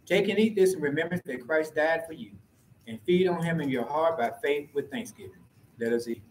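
A man serving as pastor, reciting a communion liturgy in a slow, solemn, measured way from the pulpit of a church sanctuary. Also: a little high, a little hiss or hum. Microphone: pulpit mic from far camera. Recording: roomy church echo.

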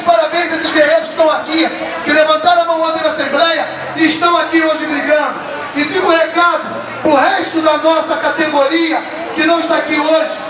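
A young man giving a shouted, accusatory protest speech through a handheld microphone at an outdoor street rally. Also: extremely high pitch. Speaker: protest speaker. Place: outdoor street protest in front of city hall. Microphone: handheld microphone through loudspeaker, filmed from a distance. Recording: echoey outdoor PA sound from far off, crowd and street noise.

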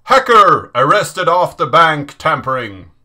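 A man in his thirties presenting in a deliberate, measured way, as in a dramatic reading. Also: on the high side, very animated, bright, forceful tone. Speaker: host of a programming channel. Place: small indoor room at a desk.

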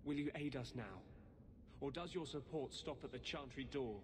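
A man acting as an embittered rebel mage in a fantasy video game, with a mid-pitched voice. He is grave, earnest and zealous, pressing each point, over clearly audible game ambience. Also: unhurried, background noise, natural voice. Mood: insistent, fervent.

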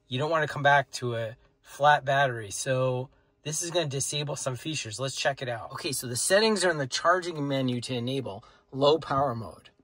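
A man's voice: fairly high pitch, a bit bright, husky, no echo. A middle-aged man explaining car features in a casual vlog from inside a parked car, filming on a phone held at arm's length. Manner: plain, matter-of-fact explaining. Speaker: car tech vlogger.

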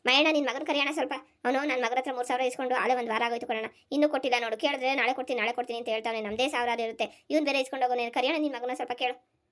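A woman's voice: sits high, steady tone, very fast, a bit bright. A woman playing an exasperated village mother in a cartoon family story, nagging and sarcastic as she complains. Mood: aggrieved complaining. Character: scolding, exasperated village mother with a grown son.

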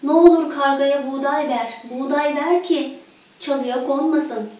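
A woman's voice: high voice, fairly even intonation, measured pace, dark tone. A woman voicing a small male flea in a children's tale, pleading eagerly and insistently. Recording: echoey room, distant microphone.

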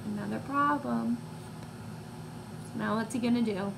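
A woman in her twenties reading a picture book to young children, expressive and teacherly, pausing to put questions to listeners. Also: fairly high pitch. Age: 20s. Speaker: read-aloud storyteller for young children. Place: small room at home, indoors. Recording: clear background noise, home recording.

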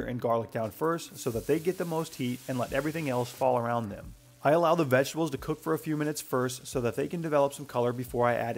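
Fast-talking man with a gravelly voice. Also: medium pitch, darkish tone. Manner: brisk, matter-of-fact with dry humor. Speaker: cooking channel host. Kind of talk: voice-over cooking tutorial.

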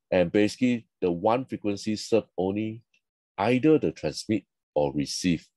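A man with a low, mellow voice narrates a slide lecture in short, explanatory phrases. His English has non-native phrasing. The recording is dry and close. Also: no echo. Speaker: instructor.

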